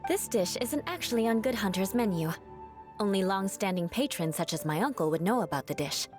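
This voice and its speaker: Brief: actress as a haughty, sardonic young knight for a game, lively intonation. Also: female voice, medium pitch, a little fast, over music, natural voice. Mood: wry. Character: proud aloof young woman knight.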